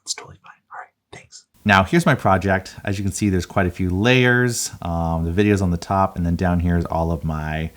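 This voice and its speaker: A man with a notably low voice hosts a casual creator vlog. He explains things in a conversational, walkthrough manner, as if guiding viewers through his process. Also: fairly even intonation, moderate pace, few pauses. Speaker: video creator and channel host.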